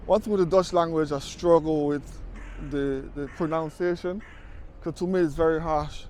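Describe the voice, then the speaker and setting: A man's voice: on the high side, steady tone, normal speed, a little mellow, a little hiss or hum. Chatty man vlogging on city streets. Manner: chatty, conversational, fond of "you know". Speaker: travel vlogger. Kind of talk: casual walking travel vlog. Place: outdoors on city streets and at a market.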